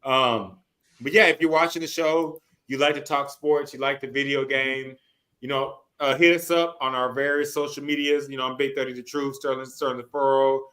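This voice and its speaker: A man's voice: mid-range voice, steady tone, normal speed, a bit bright. A man hosting a sports podcast in casual chat, talking in a slangy, profane way.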